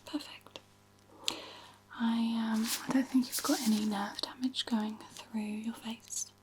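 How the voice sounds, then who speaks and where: A woman doing a soft-spoken ASMR medical roleplay as a physiotherapist, with a gentle, reassuring, whispery manner. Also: fairly high pitch, little pitch movement, slow pace, noisy background. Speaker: ASMR performer playing a physiotherapist.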